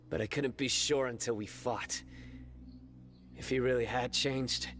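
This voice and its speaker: An actor playing a stern young male guardian who speaks in a terse, calm and resolute way in a game cutscene. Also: on the low side.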